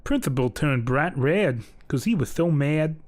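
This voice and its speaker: Casting: male actor as jolly, crude Southern bedtime storyteller, higher-than-average pitch, rambling homespun delivery. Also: expressive, a little slow, darkish tone. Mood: folksy, crude. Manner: folksy, ungrammatical, rambling asides. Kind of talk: mock children's bedtime story narration.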